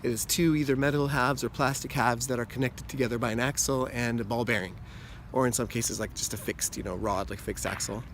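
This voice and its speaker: A man with a slightly hoarse, under-the-weather voice, talking casually and at a medium pitch. He explains things in a loose, rambling way, full of little asides. A faint hum of room ambience sits behind him. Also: fairly quick. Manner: relaxed, rambling explanation with frequent "you know" and "like". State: hoarse from a cold. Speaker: yo-yo channel host. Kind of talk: casual explanatory talk to camera.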